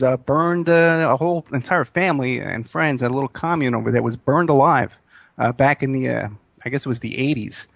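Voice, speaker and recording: A man's voice: mid-range voice, no echo. A man in his fifties hosting a live radio interview show, talking casually with lots of fillers and restarts. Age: 50s. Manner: casual, rambling, many fillers.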